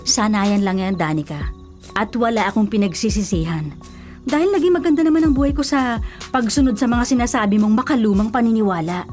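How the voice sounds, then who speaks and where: An actress playing an anxious, stubborn older sister who is easily spooked, earnest and fretful, in a Filipino radio drama. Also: female voice, on the high side, expressive, fairly quick, darkish tone, soft background music, lightly acted.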